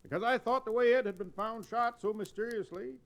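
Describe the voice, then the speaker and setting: An actor playing a crusty, jokey cowboy sidekick with a folksy, exclamatory way of talking in a radio drama. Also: male voice, sits high, fairly quick, a bit bright. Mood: concerned. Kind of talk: old-time radio Western acting.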